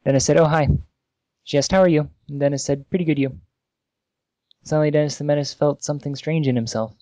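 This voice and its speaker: A man's voice: a little high, fairly even intonation, quick pace, warm tone, dry recording, muffled recording. A man co-hosting a podcast, casually reading comic fan fiction aloud with amusement.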